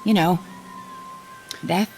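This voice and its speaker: A woman's voice: fairly low pitch, steady tone, very slow, creaky, heavily acted, rough recording. An actress playing a cool, taunting young female survivor in a science fiction audio drama, with a mocking, teasing manner. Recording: prominent music underneath.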